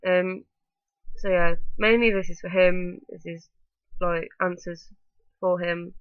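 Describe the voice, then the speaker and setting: A woman's voice: fairly low pitch, little pitch movement, slow, dry recording, highs cut off. Stuffy-nosed woman rambling to camera. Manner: rambling, full of ums and likes. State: blocked-up nose from a bad cold. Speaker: vlogger. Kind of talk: casual talking-to-camera vlog.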